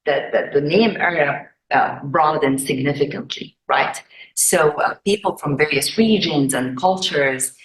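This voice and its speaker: A woman in her forties who grew up in Egypt speaks to a community gathering. Her voice sits low for a woman, and she talks in a loose, chatty way with plenty of fillers. The sound carries a roomy echo from the meeting room. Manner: chatty, uses "right?" and "um" often. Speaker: forum speaker. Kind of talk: informal presentation to a community audience.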